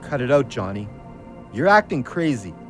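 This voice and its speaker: A man's voice: mid-range voice, big pitch swings, moderate pace, fairly bright tone, faint music behind. A man playing a joking, loyal hunting buddy who grows wary, in an audio drama. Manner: teasing, colloquial.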